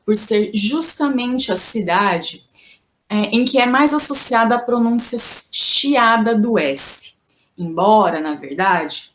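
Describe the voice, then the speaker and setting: Slow, patient Brazilian woman teacher. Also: medium pitch, a little hiss or hum. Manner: patient, careful explaining. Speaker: Portuguese teacher. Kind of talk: explanatory video lesson.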